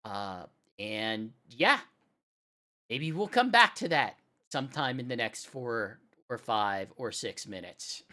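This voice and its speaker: A woman hosting a live music stream, talking casually and haltingly as the show's presenter. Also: very deep voice, expressive, bright, forceful tone. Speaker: radio show host.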